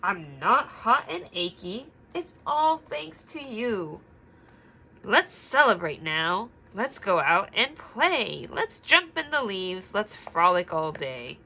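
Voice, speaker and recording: A woman's voice: medium pitch, sing-song, slow pace, gravelly, bright, forceful tone, slight background noise, heavily acted. A woman voicing a joyful, grateful storybook bear in an exuberant, exclaiming manner.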